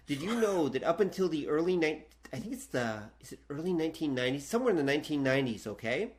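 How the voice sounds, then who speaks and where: A man's voice: a little high, very animated, fast talker. A Canadian man co-hosting a podcast, talking casually in a jokey, self-deprecating way. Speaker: podcast co-host.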